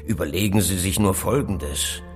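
An actor playing a self-assured, cryptic expert who lectures deliberately and emphatically in a German audio drama. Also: male voice, deep voice, faint music behind, lightly acted. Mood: grave, conspiratorial. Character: self-assured middle-aged male expert on phone surveillance, cryptic.

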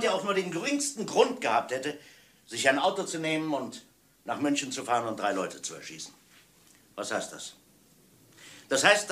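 A man playing a stern, methodical police inspector in a German radio crime drama, speaking emphatically and deliberately. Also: medium pitch, bright, forceful tone. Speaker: actor in a radio crime play.

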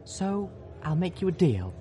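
A man's voice: high-pitched, big pitch swings, measured pace, mellow, a little hiss or hum, pitched above the performer's own voice. A man voicing a poised, compassionate, determined female unicorn with a formal, ladylike manner. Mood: resolved.

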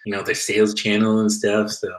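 A man's voice: fairly low pitch, a little fast. A young man co-hosting a casual video call chat, speaking loosely with many fillers as a former comics retailer. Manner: relaxed, loose with likes and you-knows. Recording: echoey room over a video call.

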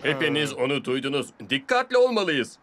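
A man's voice: a little high, sing-song, fairly quick, noisy background. A man voicing an anxious, conscientious construction vehicle in a dubbed children's cartoon, urgent in manner, with reverberant sound. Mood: worried.

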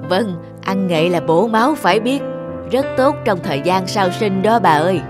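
Actress as friendly older cartoon neighbour, chatty and homely, music behind. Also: female voice, medium pitch, expressive, normal speed, lightly acted. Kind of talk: cartoon dialogue.

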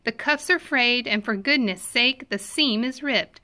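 A woman's voice: a little high, unhurried, sharp tone. Woman reading as a picky, complaining storybook llama. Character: complaining, entitled male llama, picky about clothes.

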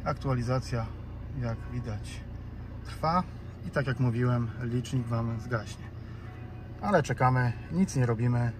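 Polish male DIY host, medium-pitched, casual and explanatory, talking through steps inside a car with clear vehicle noise. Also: unhurried. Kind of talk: casual step-by-step tutorial narration.

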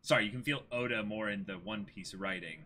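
A young man hosting a livestream, chatting casually and reading aloud in an animated way. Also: lowish voice, fairly quick. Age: young adult. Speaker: stream host. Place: small indoor room with backdrop.

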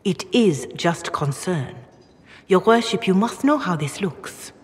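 A woman's voice: on the low side, animated, slow pace. An actress playing a solemn, formal revered mother in a fantasy game, deferential yet guarded. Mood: disapproving. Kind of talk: video game cutscene dialogue. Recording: long reverb, noisy ambience behind.

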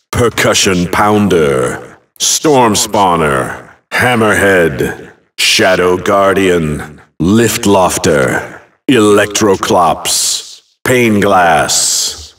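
Slow, low-voiced dramatic game announcer. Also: male voice, fairly bright tone, recorded from a distance, full character voice. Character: booming, dramatic male arena announcer. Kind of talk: dramatic video game announcer calling out names.

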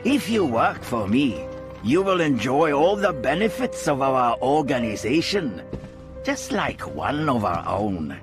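An actor playing an older, calculating crime boss in a video game, courteous, formal and measured, with music behind. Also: male voice, medium pitch, very animated. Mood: suave, pleased. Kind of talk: video game cutscene acting.

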